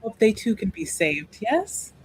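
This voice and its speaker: A woman acts out a courteous female attendant in a fantasy roleplaying game. Her voice sits at a middle pitch, with a measured, formal and pious manner. Faint music plays underneath. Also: big pitch swings, poor audio. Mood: devout, polite. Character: polite, devout young female noble house attendant. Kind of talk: improvised tabletop roleplay.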